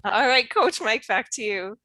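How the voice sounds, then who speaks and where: A woman hosting the show, excitable and a little scattered. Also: on the high side, measured pace, loud music behind the voice. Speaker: show host.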